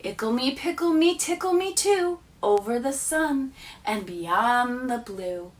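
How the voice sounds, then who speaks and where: A woman teacher reading children's poems aloud with a deliberate, expressive storytelling delivery. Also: fairly high pitch, far from the mic.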